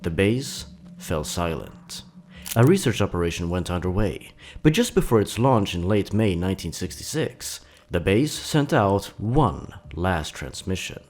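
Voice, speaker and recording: A man hosting and narrating horror stories in a scripted, deliberate and dramatic storytelling delivery. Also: deep voice, big pitch swings, darkish tone.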